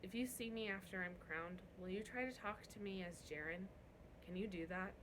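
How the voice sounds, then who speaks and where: A woman's voice: medium pitch, moderate pace, noisy background, close to an everyday voice. A woman voicing a wry, guarded and defiant young prince in a storybook read-aloud. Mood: defiant, frank.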